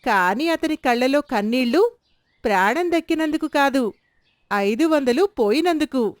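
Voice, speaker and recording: A woman reading a scripted storybook tale in a measured, expressive way. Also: high voice, dry recording, studio quality.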